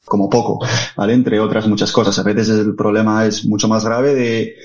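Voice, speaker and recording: Low, flat-voiced man on dull audio. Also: moderate pace. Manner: explanatory, many "eh" fillers and "¿vale?" checks. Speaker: tech company guest. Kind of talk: podcast interview answers.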